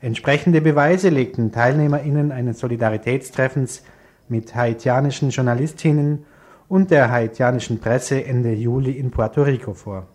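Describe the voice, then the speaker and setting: A man's voice: medium pitch, darkish tone. A man presenting radio news from a studio, reading scripted short reports.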